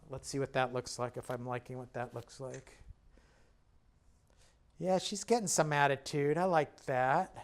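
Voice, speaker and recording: Chatty man teaching painting. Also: medium pitch. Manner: chatty, self-deprecating and jokey while working; talks while painting and stepping back from the canvas. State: slightly hoarse, clearing his throat. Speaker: painting instructor. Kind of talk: casual painting demonstration.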